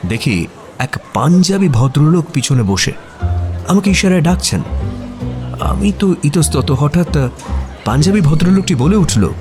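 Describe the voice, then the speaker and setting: Audio drama narrator: puzzled, admiring sidekick, higher voice, highly expressive suspense telling, soft music bed. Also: male voice, unhurried, pauses often. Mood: storytelling. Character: detective's puzzled, admiring male friend narrating the mystery. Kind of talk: dramatized first-person audio story narration. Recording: music behind the voice.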